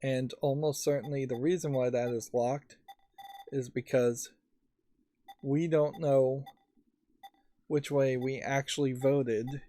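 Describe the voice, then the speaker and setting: A man hosting a let's play, giving unscripted gameplay commentary in a halting, thinking-aloud manner. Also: mid-range voice, fairly even intonation, warm tone. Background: faint game music.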